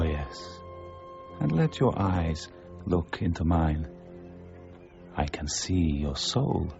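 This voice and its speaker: Slow, creaky male fortune-teller. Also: deep voice, a little mellow, heavily acted. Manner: slow, portentous, seductive. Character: sham fortune-teller, smooth-talking, theatrical male charlatan. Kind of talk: radio drama acting. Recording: dull lo-fi radio recording, music behind.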